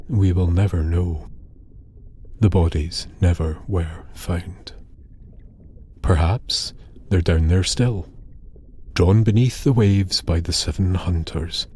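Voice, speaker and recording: A man narrating an eerie scripted tale in a solemn, measured, dramatic way with pauses. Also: low-pitched, dark tone, husky. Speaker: storyteller, narrator. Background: music.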